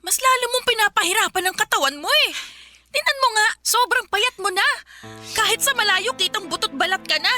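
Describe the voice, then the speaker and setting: An actress playing a caring, exasperated sister in a Filipino radio drama, coaxing and scolding with a Filipino accent. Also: female voice, very high voice, lively intonation, brisk, bright, forceful tone, soft background music, exaggerated voice. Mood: exasperated concern.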